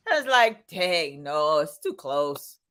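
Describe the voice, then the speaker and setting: Bright-voiced woman in her fifties. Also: sits low, big pitch swings, slow. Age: 50s. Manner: laughs easily, reflective and chatty. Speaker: co-host of a live stream. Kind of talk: casual chat.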